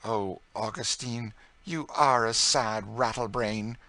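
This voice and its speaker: A prim, fretful middle-aged spinster speaks in a lifted, earnest tone, put on by a man reading a novel aloud. The voice has a bright, forward edge. Every sentence sounds like a gentle scolding. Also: on the low side, slow, no echo, voice pushed up, exaggerated voice. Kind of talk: audiobook character voice.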